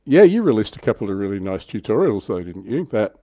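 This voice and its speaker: A man's voice: fairly low pitch, sing-song, fast, dark tone. A man hosting a livestream in a casual, chatty and informal way. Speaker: livestream host.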